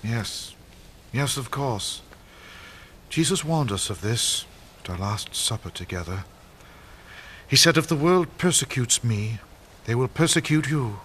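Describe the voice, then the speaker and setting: Man playing a solemn, steady apostle. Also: medium pitch, a little hiss or hum, lightly acted. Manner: solemn, measured, authoritative. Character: steady, solemn male apostle leading the believers. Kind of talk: audio drama acting.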